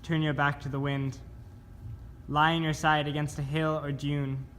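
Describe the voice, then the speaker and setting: A man giving a scripted spoken-word reading of poetic survival instructions in a measured, deliberate manner. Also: a little high, level tone, a bit bright. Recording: clear background noise.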